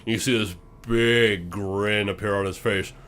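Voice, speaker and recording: A man narrating a tabletop game dramatically as game master, with deliberate, suspenseful phrasing. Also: lowish voice, fairly even intonation, fairly bright tone. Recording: clear background noise and ambience.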